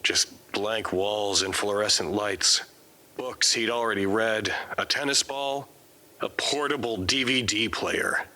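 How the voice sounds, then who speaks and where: A man playing a weary, formal quarantined character, narrating his confinement in measured, articulate audio drama monologue. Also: fairly low pitch, pushed and bright. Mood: resigned, measured.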